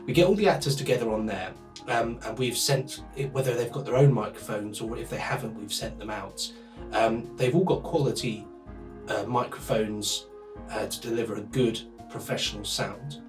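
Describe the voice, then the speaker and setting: A man's voice: medium pitch, normal speed, soft background music. A man working as a sound designer, giving an informal explanatory talk.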